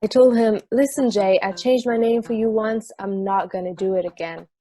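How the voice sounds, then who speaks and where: A woman's voice: medium pitch, fairly even intonation, moderate pace, dark tone, close to an everyday voice. A woman playing a playful, teasing long-married wife in an amateur table read of a play.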